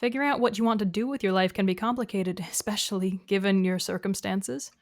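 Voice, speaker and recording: A woman playing a composed, gently corrective therapist in an audio drama, speaking in a measured, patient, questioning way. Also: medium pitch, no echo, studio quality, close to an everyday voice. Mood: calm, encouraging. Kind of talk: naturalistic audio drama dialogue.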